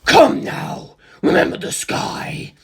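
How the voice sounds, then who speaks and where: A narrator voicing an ancient, arrogant superhuman male villain in an audiobook, lecturing humans with cold contempt in a rasping, breathy growl. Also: takes it slowly, close to an everyday voice. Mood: impatient. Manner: condescending, lecturing, disdainful. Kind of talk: audiobook character dialogue.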